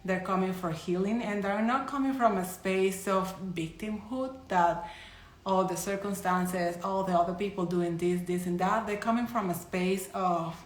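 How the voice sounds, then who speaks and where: A woman's voice: medium pitch, normal speed. Colombian-accented woman in an echoey room. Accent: Colombian Spanish. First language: Spanish. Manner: chatty, loose, with frequent restarts and laughs. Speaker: psychic medium and energy healer. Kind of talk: casual live-stream talk to followers.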